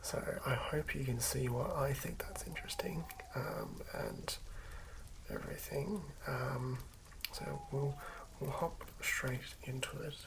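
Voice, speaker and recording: A person whispering softly and haltingly in an unhurried ASMR style, as a host talking through and reading tabletop game material. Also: mid-range voice, sing-song. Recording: lo-fi whisper with clear background noise.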